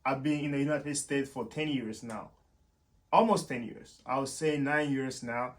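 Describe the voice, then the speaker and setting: A man's voice: medium pitch, normal speed. Man, casual vlogger. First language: French. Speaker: YouTube host and software developer. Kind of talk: casual explanatory vlog talk to viewers.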